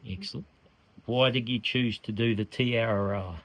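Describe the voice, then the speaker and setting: A cheerful man running an informal trail interview. He asks his questions plainly, at a middling pitch, and keeps his replies short and upbeat. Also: fairly even intonation, moderate pace.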